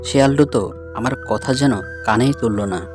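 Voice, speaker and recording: A man's voice: medium pitch, moderate pace. Male storyteller narrating over prominent music. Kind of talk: scripted first-person ghost story narration. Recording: music bed behind the voice.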